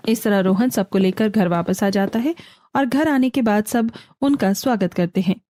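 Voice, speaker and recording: A woman's voice: mid-range voice, normal speed, mellow, dry recording. A woman storyteller reading scripted narration for a cartoon family story.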